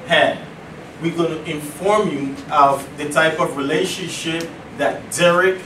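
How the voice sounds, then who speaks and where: A man speaking as a prosecutor in a mock trial, delivering a formal statement in a deliberate, halting way. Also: on the high side, a bit bright, recorded from a distance. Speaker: law student acting as prosecutor. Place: echoey indoor room, distant microphone, noise behind. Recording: echoey room from afar, noisy.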